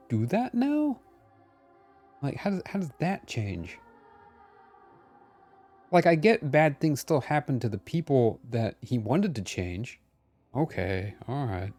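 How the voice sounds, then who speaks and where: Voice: mid-range voice, dark tone. A game streamer giving casual live commentary, chatting and reacting aloud to the game.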